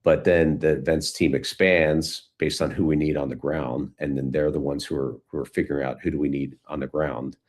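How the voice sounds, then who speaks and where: A man's voice: very deep voice, little pitch movement, moderate pace, hardly any pauses, a little mellow. A man answering questions in a casual, informal way, thinking aloud as he goes. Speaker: guest speaker, community founder.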